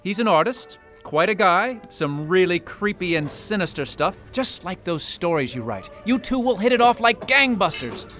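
An actor playing a hearty, persuasive neighbor in a radio drama. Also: male voice, high-pitched, big pitch swings, moderate pace, few pauses, fairly bright tone, soft background music. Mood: coaxing. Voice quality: hearty, pushy. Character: breezy, persuasive male neighbor.

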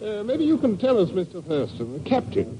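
A jovial British gentleman passenger in a vintage radio play. His high voice swings about in a chatty, clubby way, over a clearly noisy old recording. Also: huge pitch swings, a little fast. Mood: chatty, fussy. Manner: jaunty, old-fashioned clubby way of talking. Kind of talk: 1950s radio drama acting.

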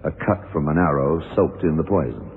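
An actor playing a shrewd, methodical police detective in an old radio drama, questioning in a deliberate, probing way. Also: male voice, rumbling low, slightly rough, no room sound, exaggerated voice. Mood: analytical. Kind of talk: 1960s-70s radio drama acting. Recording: tinny, muffled old radio recording.

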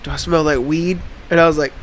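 A man's voice: fairly high pitch, fairly even intonation, quick pace, a little hiss or hum. A man, congested, telling a laid-back story on a casual podcast. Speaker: podcast co-host. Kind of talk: casual chat.

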